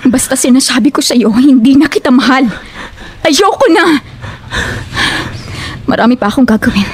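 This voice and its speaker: High-voiced, firm young Filipina played by an actress. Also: female voice, expressive, normal speed, breathy edge. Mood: cold, firm. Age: young adult. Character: firm, fed-up young woman ending a relationship. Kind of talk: audio drama acting.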